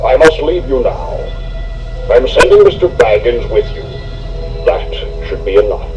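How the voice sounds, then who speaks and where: A man acts a stately, commanding leader from a storybook. He declaims formally with big swings in pitch. The voice is distant, over music. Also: very animated, a little slow, lo-fi sound. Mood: stern. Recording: distant mic with music behind.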